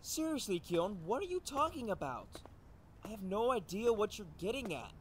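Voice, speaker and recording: Grumpy schoolboy under the weather played by a man, high, baffled anime delivery with faint noise. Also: sing-song, normal speed. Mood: baffled, irritated. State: sick with a cold, coughing. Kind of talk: anime fan dub acting. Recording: amateur dub with faint noise.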